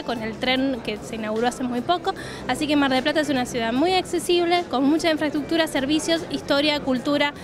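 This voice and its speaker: A woman in her thirties with an Argentine accent, a city tourism official answering interview questions fluently at a trade fair stand, with many "eh" fillers, into a handheld mic. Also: a little high. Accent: Argentine Rioplatense Spanish. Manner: fluent promotional listing, frequent "eh" fillers. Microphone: handheld reporter mic.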